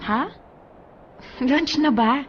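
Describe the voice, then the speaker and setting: High-voiced, reluctant office woman. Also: extremely slow, muffled recording, a little hiss or hum. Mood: reluctant, surprised. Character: busy, thrifty young female office worker, gently reluctant. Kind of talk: Tagalog radio drama acting.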